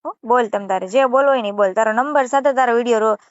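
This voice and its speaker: A woman's voice: fairly high pitch, quick pace, no room sound. A woman with a Saurashtra Gujarati accent arguing assertively on a phone call, scolding and repeating herself. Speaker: channel creator taking a call. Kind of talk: argumentative phone conversation. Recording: muffled phone call recording.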